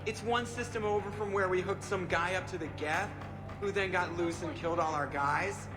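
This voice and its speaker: A man's voice: high voice, moderate pace, close to an everyday voice. A man playing a sarcastic, wisecracking spaceship pilot in a video game, delivering snarky quips with mock seriousness. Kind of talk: scripted game dialogue. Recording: music under the voice.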